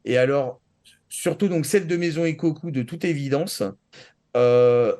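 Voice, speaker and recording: A man's voice: on the high side, measured pace, warm tone. A man chatting casually and giving his opinions as a panelist, rambling and often restarting his sentences. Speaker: panelist on an anime show. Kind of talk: casual chat giving opinions on manga and anime.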